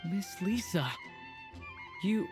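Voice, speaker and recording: Young boyish game hero, gentle and apologetic, medium pitch, soft hesitant delivery, faint music underneath. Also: female voice, expressive, very slow. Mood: grateful, resolved. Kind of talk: anime video game acting.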